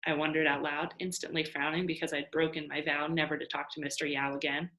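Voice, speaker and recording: A woman reading a children's novel aloud as an expressive storyteller. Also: low voice, fast, rough recording. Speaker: storybook reader.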